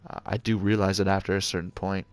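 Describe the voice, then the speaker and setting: A young man with a deep voice talking quietly and hesitantly to his viewers as a gaming channel host, with many ums and uhs. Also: level tone, fast. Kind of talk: casual talk to viewers.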